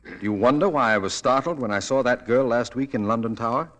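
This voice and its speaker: An actor playing an earnest, curious young police detective in a 1940s radio drama, thoughtful and polite. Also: male voice, mid-range voice, quick pace, a bit bright, lightly acted, low-quality recording.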